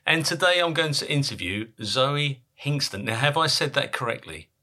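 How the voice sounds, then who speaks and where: A man's voice: mid-range voice, expressive, moderate pace, pushed and bright. A man hosting a podcast interview, chatty and self-deprecating. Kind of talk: casual interview chat.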